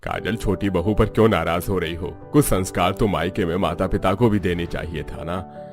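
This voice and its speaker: Man voicing a low-pitched stern mother-in-law. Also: moderate pace, over music. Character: stern old-fashioned mother-in-law, scolding and demanding. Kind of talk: cartoon story dialogue.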